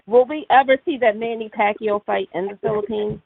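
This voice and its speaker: A woman's voice: fairly high pitch, fairly quick, creaky, no echo. A woman calling in as a reporter, asking press questions politely. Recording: tinny phone line.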